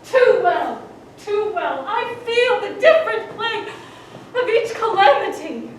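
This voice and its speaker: A woman's voice: extremely high pitch, animated, takes it slowly, exaggerated voice. A woman playing a frantic grieving queen. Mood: anguished. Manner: declaiming, voice swelling to cries. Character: grieving widowed queen mother, frantic with loss. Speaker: stage actor. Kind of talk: live Shakespearean stage acting. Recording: distant stage recording in an echoey hall, with background noise.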